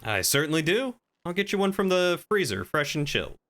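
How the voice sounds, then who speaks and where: A man's voice: a little high, big pitch swings, brisk, fairly bright tone. A man improvising in-character roleplay chat as a courteous, folksy tavern keeper in an online game.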